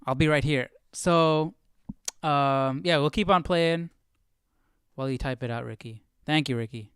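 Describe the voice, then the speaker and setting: A man's voice: a little high, measured pace, smooth, no room sound, clean studio sound. A man hosting a live stream with casual, informal commentary, musing aloud and repeating phrases as he thinks. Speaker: host of a live Bible study stream.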